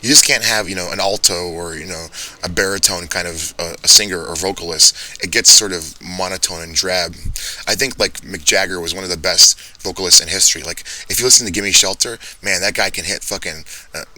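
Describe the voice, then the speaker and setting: A young man with a deep baritone voice rambling through a casual monologue, swearing often and laughing at his own jokes. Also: brisk, sharp tone, low-quality recording. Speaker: vlogger. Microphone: handheld or phone mic, speaking softly.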